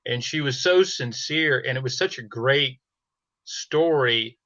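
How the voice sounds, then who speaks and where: A man chatting casually as a podcast guest, halting and often restarting his phrases. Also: medium pitch, pushed and bright, no echo. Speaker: audio storytelling podcaster, guest. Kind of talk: casual conversation. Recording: slightly dull, band-limited dry audio.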